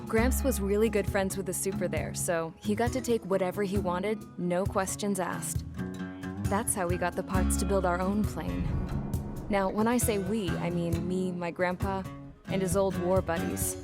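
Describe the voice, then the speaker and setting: A woman's voice: medium pitch, natural voice. A woman playing a plain-spoken, gutsy young pilot and mechanic, narrating her own story in a scripted game. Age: young adult.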